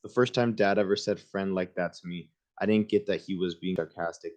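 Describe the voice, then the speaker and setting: Low voiced guy reading a kids book out loud, chill and informal with little side comments. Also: fairly even intonation, fairly quick. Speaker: reader hosting a book livestream. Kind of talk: reading a children's book aloud with casual asides.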